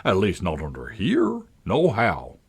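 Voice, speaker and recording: A man's voice: low-pitched, huge pitch swings, measured pace, vocal fry, husky, exaggerated voice. A man voicing a childish, quarrelsome, blustering twin from a storybook, with a contemptuous manner. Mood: brusque.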